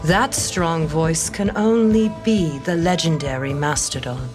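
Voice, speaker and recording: A lowish, calm shepherdess, played by a woman in a fantasy audio drama. She is a wise, mysterious guide who speaks formally and with portent, over music. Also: unhurried. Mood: serene curiosity. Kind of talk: audio drama table read acting.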